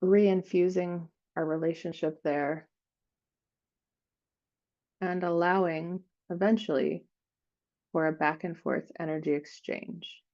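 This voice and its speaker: A woman leading an improvised guided meditation, speaking in gentle, unhurried phrases with frequent pauses. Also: fairly low pitch, fairly even intonation, dull audio.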